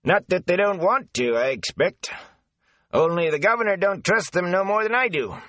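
A man voicing a gossipy, rambling rustic gatekeeper with folksy country speech, high and lively. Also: dry recording. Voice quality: folksy dialect, rambling and eager.

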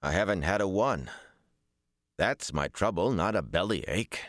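Casting: male narrator as gruff, weary lord, low pitch, blunt audiobook dialogue, dry close sound. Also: lively intonation, a little slow, a bit bright, no echo.

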